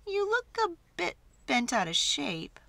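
A woman voicing a gentle, reassuring mother spoon in a storybook, soothing and sing-song. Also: sits high, wildly swooping pitch, measured pace, creaky, no echo, pitched above the performer's own voice, exaggerated voice. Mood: concerned.

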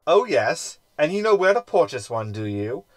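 An actor playing a scheming, sarcastic hologram in a sci-fi comedy audio drama, with an arch, wordy manner. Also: male voice, fairly high pitch, big pitch swings, normal speed, no echo. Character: scheming, sarcastic male hologram crewman, self-satisfied. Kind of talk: science fiction comedy audio drama acting.

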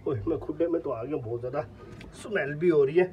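Young male vlogger, fairly high voice, chatty, loud calls to his birds, outdoor phone recording with clear noise behind. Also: a little fast, dull audio. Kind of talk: casual selfie vlog. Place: rooftop poultry yard outdoors, light rain. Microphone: phone held at arm's length.

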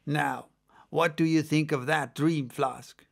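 A man playing an excitable second mate on a sailing ship, spinning a yarn in a dramatic audiobook reading. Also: a little high, slow pace, vocal fry, exaggerated voice. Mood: animated yarn-spinning. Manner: conversational, exclamatory storytelling.

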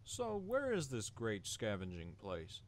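A man voicing a naive, kindly, trusting guinea pig with a gentle, hesitant, courteous manner in a cartoon game. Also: lowish voice, huge pitch swings, a little slow, slight background noise. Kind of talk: cartoon adventure game voice acting.

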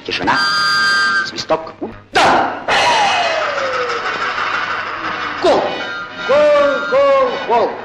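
A man playing an excitable, good-humoured football commentator in a 1940s cartoon, chatty and theatrical, on a noisy old film soundtrack. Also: extremely high pitch, slow pace, over loud music, exaggerated voice. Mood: concerned, wry, then wildly excited. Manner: chatty, theatrical, exclaiming, correcting himself. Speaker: sports commentator.

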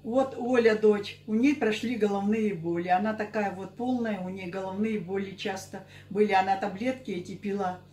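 A woman of sixty-seven giving halting, unscripted interview answers, often repeating herself. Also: medium pitch, warm tone. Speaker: interviewee giving a product testimonial. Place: small curtained indoor office. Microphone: camera a couple of metres away. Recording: echoey room.

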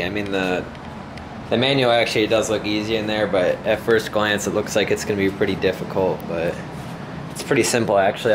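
Casual male vlogger, fairly deep voice, hesitant off-the-cuff delivery, recorded on a handheld camera with noticeable hum. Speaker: home gym vlogger. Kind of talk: casual unscripted vlog narration. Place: concrete basement home gym, indoors. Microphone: handheld camera mic, operated by speaker.

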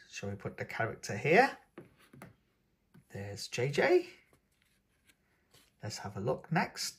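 A man with a husky, breathy voice reads a picture book to toddlers. His pitch swings widely as he coaxes the little ones along in a sing-song way, and he takes his time over each line. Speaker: children's book reader and channel host. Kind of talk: reading a picture book aloud to young children. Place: indoors at a tabletop, hands showing a book.

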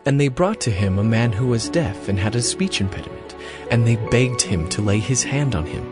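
A man's voice: mid-range voice, animated, normal speed, over music. A man narrating scripture from a script in a dramatized Bible production.

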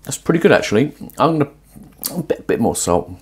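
A man in his forties talking to camera while cooking in a small home kitchen, conversational and musing, with lively emphasis. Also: medium pitch, fairly quick, breathy edge. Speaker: presenter of a cooking and philosophy video. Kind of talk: casual explanatory talk to camera while cooking. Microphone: lapel mic.

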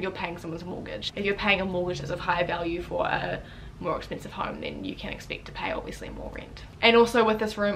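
A young woman with a New Zealand accent talks quickly and casually straight to the camera. Her delivery is chatty and loose, full of little fillers. She sits in a small bedroom with a faint hum of room ambience behind her. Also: medium pitch, fairly bright tone, rough recording. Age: young adult. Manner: chatty, fast, lots of "like" fillers, gestures with hands. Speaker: vlogger, university student. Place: bedroom, indoors. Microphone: camera in front at chest distance.

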